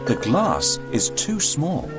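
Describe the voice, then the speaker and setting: A man's voice: on the high side, wildly swooping pitch, slow. A man narrating a scripted children's story as a read-aloud storyteller, in a dry recording with music.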